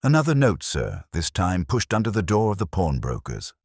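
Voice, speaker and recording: A man's voice: sits low, huge pitch swings, moderate pace, husky, dry recording, studio quality, voice pushed up, full character voice. A man voicing a dutiful, bristling police sergeant, reporting urgently in a mystery story. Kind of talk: dialogue in a narrated mystery story.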